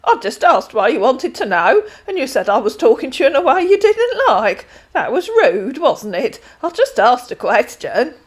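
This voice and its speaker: A woman's voice: high-pitched, big pitch swings, moderate pace, hardly any pauses, slightly rough, voice pushed up, full character voice. A woman voicing a chatty 76-year-old retired dinner lady who calls everyone darling and takes everything literally. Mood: puzzled. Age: 70s. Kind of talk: read-aloud storytelling.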